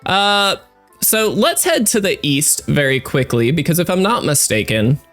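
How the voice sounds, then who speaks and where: A man's voice: a little high, very animated, a little slow, fairly bright tone, faint music behind. A man with a stuffy, congested voice giving chatty, upbeat let's-play commentary as a gaming creator, with lots of fillers. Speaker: gaming YouTuber.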